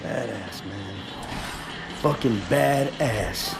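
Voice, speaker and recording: An actor playing a brash young soldier in a game, crude and jokey, bantering in slang. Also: male voice, mid-range voice, drawn-out words, creaky. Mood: admiring. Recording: loud music under the voice.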